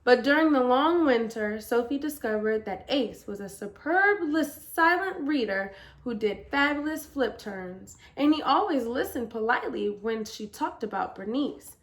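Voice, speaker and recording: A woman reading a children's picture book aloud as storyteller, with expressive, deliberate emphasis. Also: on the high side.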